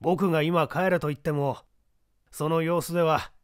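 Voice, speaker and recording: A man voicing a composed middle-aged gentleman who sets a young applicant a test, speaking in a measured, lecturing way. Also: fairly high pitch, steady tone, no echo, clean studio sound, natural voice. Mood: stern. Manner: measured, lecturing, poses pointed questions. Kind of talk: audiobook reading of a detective story.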